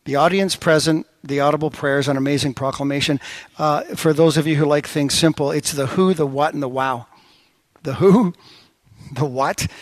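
A middle-aged man preaching a sermon as pastor, telling stories with lively swings of voice. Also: no room sound. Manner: storytelling with lively pitch swings.

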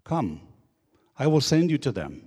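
A man's voice: mid-range voice, big pitch swings, a little slow, mellow, slightly rough. A man reading the lines of an aged father sending his son on an errand, in a church. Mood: earnest. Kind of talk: scripture reading. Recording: long church hall reverb.

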